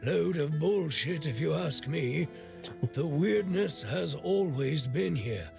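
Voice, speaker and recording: An actor playing a gruff, irreverent old smith in a video game, with a gravelly voice and a blunt, sardonic way of talking. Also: male voice, a little high, lively intonation, slow, a little mellow, soft background music, heavily acted. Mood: disdainful grumbling. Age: older. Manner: blunt, foul-mouthed, sardonic. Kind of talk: video game character dialogue.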